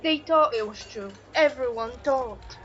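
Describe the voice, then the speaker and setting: High-voiced, earnest young woman actor. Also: measured pace, a bit bright, muffled recording, a little hiss or hum. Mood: argumentative. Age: young adult. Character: earnest woman, firm in her convictions. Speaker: student actor. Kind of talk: audio drama dialogue.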